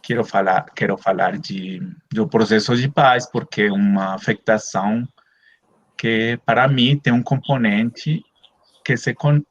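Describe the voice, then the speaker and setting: A man from Colombia, a Spanish native speaker, giving an academic talk in a second language over a video call, hesitant with many drawn-out fillers. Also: lowish voice. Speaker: guest speaker, anthropologist. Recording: dull lo-fi video call audio.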